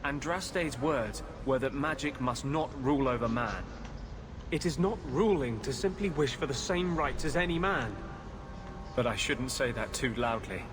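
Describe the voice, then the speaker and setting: A man playing a brooding, idealistic rebel mage in a video game, speaking earnestly and intensely with halting pauses. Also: mid-range voice, lively intonation, lightly acted. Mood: impassioned, bitter. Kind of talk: dramatic video game dialogue. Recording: clearly noisy game audio background.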